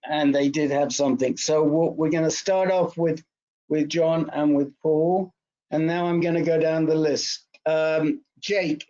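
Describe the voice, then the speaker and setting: A man's voice: fairly high pitch, lo-fi sound, noisy background. A man moderating a support group in a casual, chatty, joking way over an online call.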